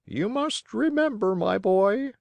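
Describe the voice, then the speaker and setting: A woman voicing a large, kindly male pigeon, calm and helpful, with a gentle, measured storybook delivery. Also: mid-range voice, creaky, dry recording, dull audio, voice pushed up.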